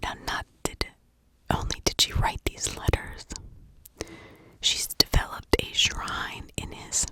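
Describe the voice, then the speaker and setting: A woman whispering in a breathy, unvoiced ASMR style, telling a story informally with conversational asides. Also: slow pace. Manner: conversational, with rhetorical asides and check-ins. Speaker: ASMR true crime storyteller.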